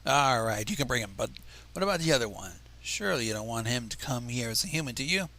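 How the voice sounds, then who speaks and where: A man's voice: medium pitch, lively intonation, fast, bright, forceful tone, voice pushed down. A man voicing a stern, regal pony princess and mentor in a fanfiction reading, with a questioning manner. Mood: stern, doubtful.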